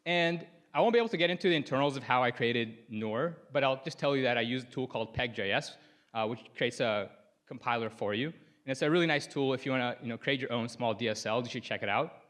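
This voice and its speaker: A man's voice: mid-range voice, fast, fairly bright tone. A young man from Sudan, a native Arabic speaker, giving a casual conference talk on stage into a lapel mic, often using "like" and "you know".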